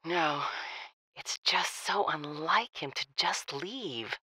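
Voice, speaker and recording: A woman's voice: low-pitched, animated, slow pace, pushed and bright, breathy edge, no echo, full character voice, poor audio. An actress playing a weary, worried middle-aged mother of a missing grown son, hesitant and sighing in an audio drama. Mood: worried, sad. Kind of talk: audio drama phone conversation.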